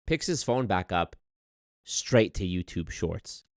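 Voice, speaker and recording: A man telling a story on his livestream in an animated, exasperated way, swearing often and reading chat. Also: sits low, slow pace, no room sound, studio quality. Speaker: streamer. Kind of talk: casual livestream rant.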